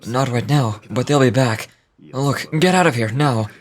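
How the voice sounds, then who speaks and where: A man playing a desperate, dutiful soldier in dramatic video game dialogue, urgent and halting with fear. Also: mid-range voice, close to an everyday voice. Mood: fearful.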